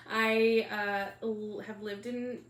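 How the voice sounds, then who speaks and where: A woman in her thirties from Texas co-hosting a casual podcast, talking in a playful, joking way. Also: a little high. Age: 30s. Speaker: podcast co-host. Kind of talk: casual chat. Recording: echoey room, faint noise.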